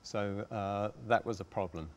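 A middle-aged man with a deep voice speaks as a panellist on a theatre stage. He explains things formally and deliberately, choosing his words with care. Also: a little hiss or hum. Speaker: panellist, radio dramatist.

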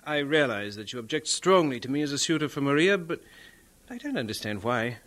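An actor playing a humble young missionary suitor in an audio drama, polite, hesitant and pleading. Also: male voice, mid-range voice, very animated, brisk, a bit bright. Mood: apologetic.

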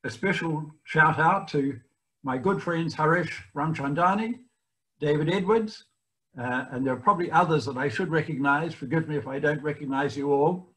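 An older man with a New Zealand accent, giving a guest speech over a video call from his home library, often pausing with fillers. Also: on the high side, a bit bright, rough recording. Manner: halting, many fillers and restarts. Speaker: guest speaker.

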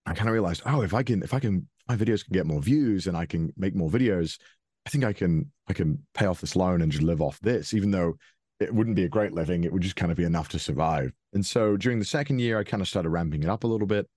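Deep-voiced Welsh young man. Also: fast, warm tone, breathy edge, no room sound, studio quality. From Wales. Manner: rambling, frequent restarts and fillers, chuckles often. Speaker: interview guest. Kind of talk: casual interview.

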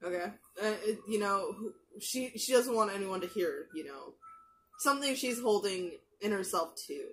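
A woman's voice: medium pitch, expressive, rough recording. A young woman talks loosely and casually, piling up fillers and restarting her sentences as she goes. She sounds close to a headset microphone in a small bedroom. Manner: chatty, many fillers and restarts, quick asides. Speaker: anime reaction youtuber. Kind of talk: casual rambling commentary. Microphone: gaming headset mic.

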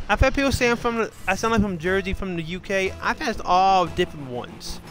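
A man's voice: high-pitched, brisk, fairly bright tone, soft background music, poor audio. A man streaming a game, talking casually in a blunt, profane, argumentative way. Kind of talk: casual gaming chat.

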